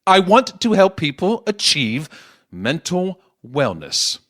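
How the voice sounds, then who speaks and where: A man's voice: sits high, huge pitch swings, slow, a bit bright, no echo, studio quality, heavily acted. An actor playing a middle-aged politician father in an audio play, persuasive and defensive, talking down to his grown daughter. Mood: exasperated. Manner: condescending, self-justifying.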